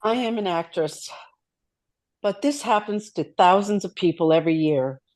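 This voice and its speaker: A woman's voice: on the low side, moderate pace, darkish tone, no echo, lightly acted. A woman playing a firm, skeptical mother in a scripted drama table read. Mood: earnest. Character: Anna, smiling mother and actress, firm and skeptical.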